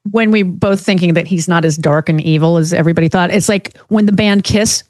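A woman co-hosting a talk show, chatting casually with dry, quick wit. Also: lowish voice, darkish tone, no echo. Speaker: co-host of a political talk show. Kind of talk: casual banter.

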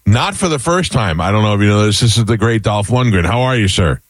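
Radio host: middle-aged man, low voice, dry close sound, rambling and self-mocking. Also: animated, quick pace, dry recording. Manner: rambling, self-deprecating, stumbling over words. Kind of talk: morning radio interview.

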